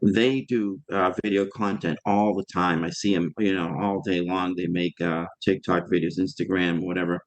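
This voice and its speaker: A man with a low voice asks questions on a video call, sounding close and dry. He jokes at his own expense and hesitates often. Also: flat delivery, no echo, low-quality recording. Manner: self-deprecating, joking, halting with "uh". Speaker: caller in a group call. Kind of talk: casual questions.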